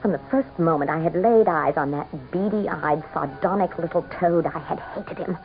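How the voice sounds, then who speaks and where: An actress playing a proud, scornful governor's wife in a radio drama, with a haughty, melodramatic manner. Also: female voice, low-pitched, normal speed, dull audio, over music. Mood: bitter narration. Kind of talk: 1970s-style radio drama acting. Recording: thin, band-limited old radio broadcast.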